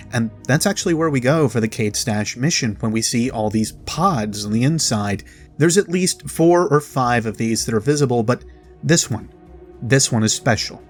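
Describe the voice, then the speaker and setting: A man hosting a game lore video, delivering narrated commentary directly to viewers with dramatic pauses. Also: mid-range voice. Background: background music.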